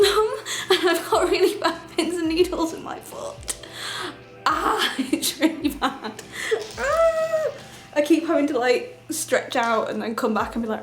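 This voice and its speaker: A young woman with a cold, chatting through a makeup tutorial with lots of giggles and fillers. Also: fairly high pitch. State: has a cold. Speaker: beauty vlogger. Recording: echoey room with light music.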